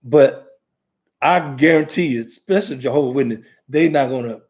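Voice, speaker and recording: A man's voice: mid-range voice, normal speed, a little mellow. Rambling conversational American man. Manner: rambling, conversational, many fillers and restarts. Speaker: talk show host, ordained minister. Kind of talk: casual talk show chat.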